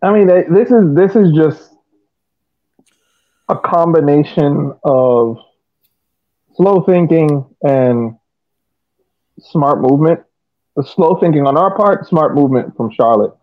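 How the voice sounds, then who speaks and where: A man's voice: on the high side, slow pace, long pauses. Phone-like audio, man analyzing soccer unhurriedly. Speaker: sports podcast co-host. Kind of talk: casual soccer match analysis. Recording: thin, phone-like call audio.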